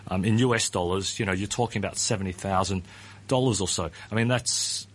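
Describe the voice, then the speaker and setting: Man, middle-aged, guest on a talk show, husky, hedging delivery. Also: deep voice, a little fast. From Australia. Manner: hedging, often starts with "look", repeats words. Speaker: pension ratings firm founder. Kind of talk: radio interview guest.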